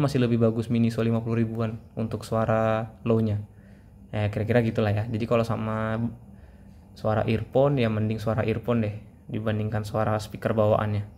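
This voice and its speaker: A man's voice: medium pitch, darkish tone, a little hiss or hum. A man giving a casual product review, explaining things informally as if to friends.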